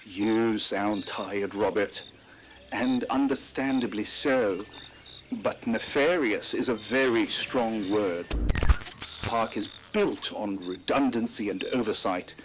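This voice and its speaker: Man playing a low, genteel old park owner, noisy. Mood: placating. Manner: measured, reassuring, evasive. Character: genteel, evasive elderly park owner, placating and proud. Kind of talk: horror drama dialogue over a night-time call. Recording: noisy night call on VHS-style tape.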